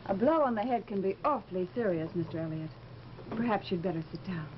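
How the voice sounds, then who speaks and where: An actress playing a lonely, yearning widow in a vintage radio horror drama, speaking graciously with wistful, dramatic intensity. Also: female voice, medium pitch, lively intonation, a little fast, lightly acted. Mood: composed, gracious. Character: lonely, yearning widow of a musician, haunted and eerie. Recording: noisy, dull vintage radio recording.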